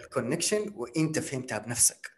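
A man's voice: mid-range voice, lively intonation, fast. A man speaking as a podcast guest, explaining ideas through rhetorical questions and English terms. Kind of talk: casual podcast conversation.

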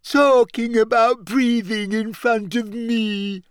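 A man voicing a glum, touchy ghost girl in an audiobook, mournful and self-pitying, turning shrill when upset. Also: fairly even intonation, takes it slowly, clean tone, dry recording, clean studio sound. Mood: shrill, wounded. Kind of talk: audiobook character voice.

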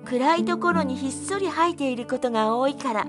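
An actress playing a shy, gentle young woman who loves plants in a Japanese audio drama, speaking softly and earnestly. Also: high-pitched, a little fast, music in the background, natural voice.

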